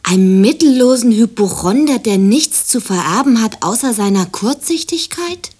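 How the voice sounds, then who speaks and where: A woman's voice: on the high side, expressive, moderate pace, dark tone, breathy edge. An actress playing a practical, hard-hearted, mocking woman in radio play dialogue, blunt and dry. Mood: sardonic, blunt.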